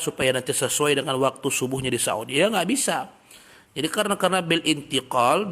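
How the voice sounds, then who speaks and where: A man teaching a religious study lesson, reading passages in Arabic and then explaining them in a relaxed, chatty way with everyday examples. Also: mid-range voice. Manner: reads Arabic text, then explains in plain talk. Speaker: Islamic teacher.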